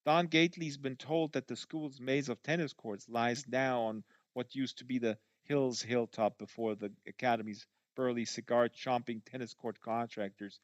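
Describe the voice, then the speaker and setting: A man narrates literary prose in a medium-pitched voice, reading aloud as in an audiobook. The sound is dry, with almost no room around it. The recording is a little dull and lo-fi. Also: a little slow, no echo. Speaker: audiobook reader, show host.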